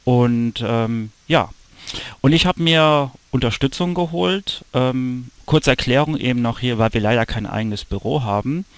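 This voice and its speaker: A gravelly-voiced man in his thirties hosting a casual podcast over an online call, halting as he searches for words. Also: medium pitch. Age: 30s. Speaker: podcast host. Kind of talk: casual podcast talk, unscripted.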